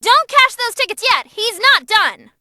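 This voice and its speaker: Squeaky, excitable young demon girl announcer. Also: unhurried, dry recording, exaggerated voice. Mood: astonished. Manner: bubbly, cheeky, dramatic. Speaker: fight commentator. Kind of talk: animated fight commentary.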